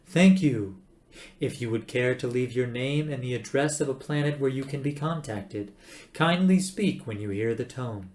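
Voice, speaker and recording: A man acts out an ancient recorded announcer in a sci-fi storybook. The voice is old, hollow and reedy, speaking slowly with courteous formality and quiet menace. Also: medium pitch, close to an everyday voice. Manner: slow, formal, coldly polite.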